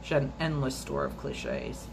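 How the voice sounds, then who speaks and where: A woman reading a memoir aloud for a storytime video at a steady, measured pace, now and then stumbling and restarting a word. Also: very deep voice, vocal fry, music in the background, low-quality recording. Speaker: storytime reader.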